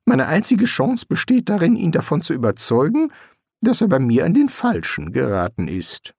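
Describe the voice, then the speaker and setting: A narrator voicing a nervous male wizard who bluffs as a demon, sarcastic and hesitant, with a strained high voice full of ums. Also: wildly swooping pitch, normal speed, a little mellow, husky, dry recording, clean studio sound, full character voice. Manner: sarcastic, evasive, pleading. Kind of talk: audiobook reading.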